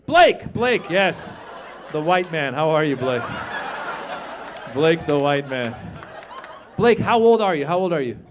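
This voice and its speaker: A man in his fifties works a comedy club crowd on a handheld mic. His voice sits high for a man, and he talks loud and animated, swearing freely. A faint hum of club noise hangs under the voice. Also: measured pace, long pauses. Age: 50s. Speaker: stand-up comedian. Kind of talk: stand-up comedy set with crowd work. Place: comedy club stage before a live audience.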